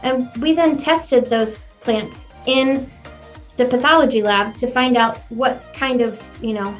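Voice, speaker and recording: A chatty woman florist in her forties tells her story with a young-sounding, slightly high voice. She talks in an animated, easy way. Soft music plays behind her. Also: measured pace. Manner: chatty and animated, laughs easily. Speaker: florist and business owner. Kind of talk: conversational interview.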